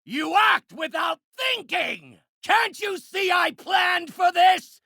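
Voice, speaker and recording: Older genius scientist villain, bitter, vengeful, theatrical, precise diction, husky, dry studio sound, video game acting. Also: male voice, low voice, animated, measured pace, fairly bright tone, no echo, heavily acted. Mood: enraged, ranting. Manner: theatrical, precise, erudite delivery. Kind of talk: video game voice acting, dramatic isolated lines.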